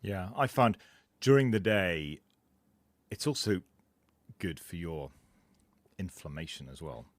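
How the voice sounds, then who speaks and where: Very low-voiced man explaining fitness. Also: big pitch swings, slow, pauses often, dry recording. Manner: explanatory, laughs at own jokes. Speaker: podcast co-host. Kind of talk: casual podcast chat.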